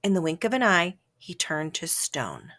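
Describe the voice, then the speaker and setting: A woman reading a children's story aloud as scripted narration, with a dramatic, expressive delivery that varies for effect. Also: lowish voice, normal speed. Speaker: storybook reader.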